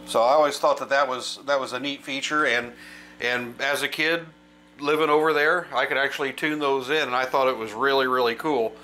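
A man's voice: medium pitch, steady tone, a little fast, sharp tone, a little hiss or hum, low-quality recording. A middle-aged man giving an informal, explanatory show-and-tell as a hobby channel host. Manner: casual, explanatory. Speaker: vintage electronics channel host.

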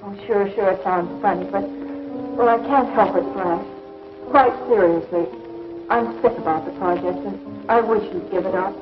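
A woman's voice: mid-range voice, normal speed, natural voice. A young woman acting a poised, earnest woman scientist in a 1950s TV science fiction serial. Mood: earnest, worried. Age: young adult. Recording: muffled old film soundtrack with music.

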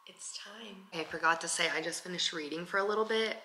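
A woman's voice: on the low side, a little fast, bright, forceful tone. A woman in her twenties chatting casually to camera in a daily vlog, upbeat and full of "like". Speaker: vlogger. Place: large bright home interior. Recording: roomy echo, faint noise.

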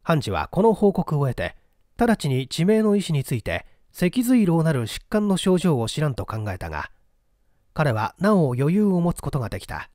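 A male narrator reads a mystery story in a lively, slightly high voice. His pitch moves widely, and the recording is close and dry. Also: normal speed, no room sound. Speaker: audiobook narrator.

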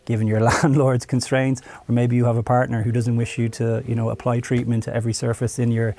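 A man's voice: mid-range voice, flat delivery, a little fast, warm tone. A man in his thirties hosting a conversational interview and explaining products. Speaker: host, product marketing head. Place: studio room with curtains and audio gear.

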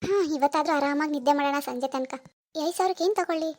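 High-voiced, scheming young wife played by a woman. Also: fast, darkish tone, lightly acted. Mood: smug. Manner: sly muttering alone, whiny playacting to others. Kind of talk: amateur domestic drama acting.

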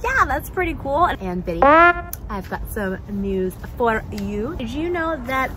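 A woman's voice: high voice, big pitch swings, measured pace, crowd noise. A woman in her thirties presenting a playful children's puppet show, talking in an exaggerated, sing-song, encouraging way as if to small children.

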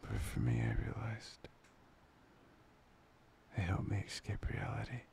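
A man playing a soft, loving boyfriend in an intimate ASMR roleplay, half-whispering breathily and soothingly up close to the mic. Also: deep voice, big pitch swings, creaky, slight background noise, heavily acted. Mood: tender, confessional. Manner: slow, pausing, soothing. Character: soft, playful, loving boyfriend, tender and reassuring. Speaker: audio roleplay performer. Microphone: close microphone.

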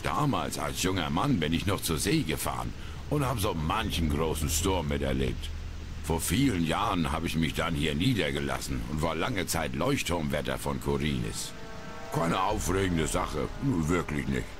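Old retired sailor, game role. Very low, animated, folksy grumbler, over music. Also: male voice, moderate pace. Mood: resigned, grumbling. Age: older. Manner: folksy, grumbling seaman's talk. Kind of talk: video game character dialogue. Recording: music under the voice.

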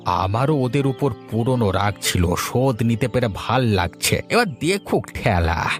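A man's voice: medium pitch, very animated, measured pace, faint music behind. A man voicing a spiteful, greedy village schemer in a cartoon, with a conniving, accusatory manner. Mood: gloating. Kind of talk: cartoon dialogue acting.

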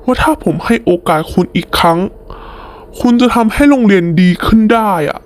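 A woman voicing a stern, reluctant male deputy school director in a cartoon story, speaking in a measured, formal way. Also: mid-range voice, expressive, natural voice. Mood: stern, doubtful. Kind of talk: hobby cartoon story dubbing.

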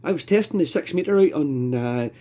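A Scottish man speaks in a husky, slightly mellow voice, fairly high for a man. He chats casually in the manner of a radio amateur talking on air. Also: animated, brisk. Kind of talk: casual two-way radio chat. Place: indoors at a home radio desk.

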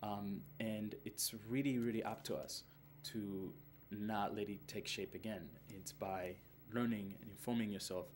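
A man in his thirties from Rwanda, a filmmaker answering interview questions in a halting, earnest way with many fillers. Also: on the low side, steady tone, a little hiss or hum. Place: indoors, seated in front of a film poster.

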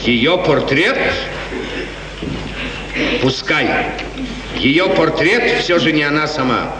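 An actor playing a capricious, lovesick prince, theatrical and imperious, musing aloud in a 1950s radio play. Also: male voice, on the high side, lively intonation, slow. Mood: wistful, irritated. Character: capricious, restless, lovesick prince of a small state. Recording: roomy, distant, noisy 1950s radio recording.

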